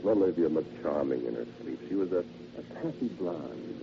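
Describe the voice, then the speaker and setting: An actor playing a polite, halting, quietly sinister henpecked salesman in a theatrical vintage radio horror monologue. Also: a bass voice, expressive, gravelly, exaggerated voice. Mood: sinister, amused. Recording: noisy, dull vintage radio recording.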